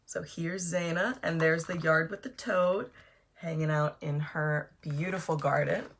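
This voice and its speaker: A woman reading her storybook aloud to children in an animated, encouraging way, addressing young listeners directly. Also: low voice, a little slow, slightly rough.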